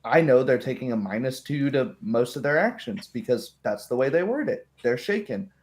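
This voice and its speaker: A man's voice: medium pitch, animated, normal speed. A man who runs a tabletop game, talking casually in a group discussion.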